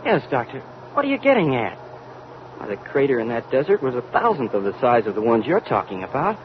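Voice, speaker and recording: A man's voice: mid-range voice, very animated, a little fast, natural voice. A man acting a dutiful, anxious rocket crewman in an old-time radio drama, deferential and earnest. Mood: skeptical. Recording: muffled, faintly noisy old radio recording.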